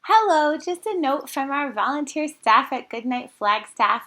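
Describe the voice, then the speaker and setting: A woman from a volunteer staff giving an earnest, slightly halting spoken note to listeners, with a few fillers. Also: high voice, expressive, sharp tone. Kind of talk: informal spoken announcement.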